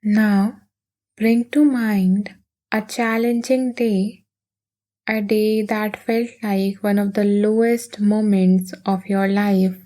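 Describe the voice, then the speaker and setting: A woman leading a guided meditation in a soothing, gentle, unhurried manner with long pauses. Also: medium pitch, fairly even intonation.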